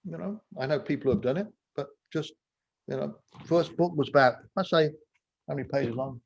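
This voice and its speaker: A man's voice: a little high, fairly quick, vocal fry, muffled recording. A man in his sixties from England, a podcast guest talking casually and rambling, with lots of fillers and self-mocking asides. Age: 60s. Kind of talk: casual interview talk.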